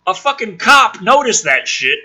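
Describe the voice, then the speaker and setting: Guy reading a movie script w sarcastic sweary comments, kinda high voice, super animated, bit raspy. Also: normal speed. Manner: animated, sardonic. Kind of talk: reading a film script aloud with casual, profane commentary.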